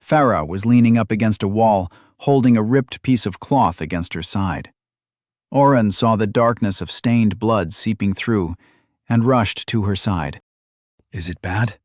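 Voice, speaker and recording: Low-voiced male audiobook narrator, dry sound. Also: a little slow, darkish tone, no room sound. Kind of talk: scripted narration.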